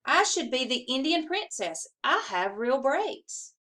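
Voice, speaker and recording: A woman's voice: high-pitched, very animated, a little slow, bright, forceful tone, full character voice. A woman voicing a fussy, vain, complaining schoolgirl in a storybook, with a whiny, exclaiming manner.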